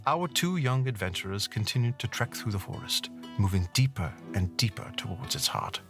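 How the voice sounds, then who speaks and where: Low-pitched male storyteller over music. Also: sing-song, normal speed, a bit bright. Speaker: narrator. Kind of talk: scripted storybook narration. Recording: music under the voice.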